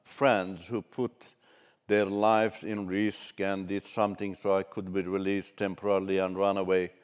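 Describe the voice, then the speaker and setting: An older man from Iran whose first language is Turkish, giving a measured, halting personal testimony as a ministry speaker. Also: deep voice, level tone, smooth.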